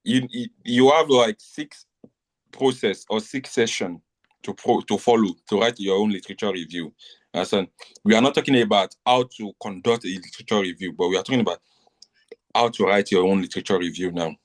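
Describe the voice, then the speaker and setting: A man's voice: on the low side, a little fast. A middle-aged man from Nigeria tutoring a class in a live online lecture, repeating points and often asking whether students understand. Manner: repetitive, checks understanding often.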